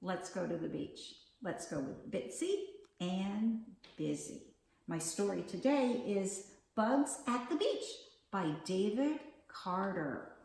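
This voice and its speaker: Slow, sing-song woman reading to kids. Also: mid-range voice, lively intonation, gravelly, echoey room, a little hiss or hum. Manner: slow, gentle, sing-song read-aloud delivery. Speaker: children's storytime teacher. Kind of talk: reading a picture book aloud to young children. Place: at home.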